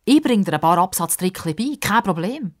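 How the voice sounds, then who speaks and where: A woman's voice: medium pitch, sing-song, normal speed, pitched above the performer's own voice, lightly acted. A woman voicing a kindly, cheeky old man in a children's story, reassuring and folksy in manner. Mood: chipper.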